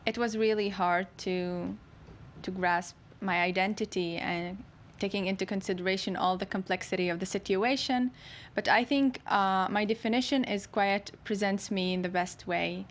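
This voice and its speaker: Measured woman studio guest. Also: medium pitch, a little hiss or hum. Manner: measured, opens with "well". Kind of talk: studio discussion.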